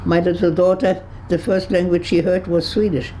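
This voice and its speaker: A woman over one hundred, from Berlin, recalling her past in an interview, halting and searching for words with frequent restarts, and laughing easily with dry humor. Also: fairly low pitch, steady tone, dark tone, slight background noise, poor audio. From Berlin, Germany. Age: 100s. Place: her home on a kibbutz.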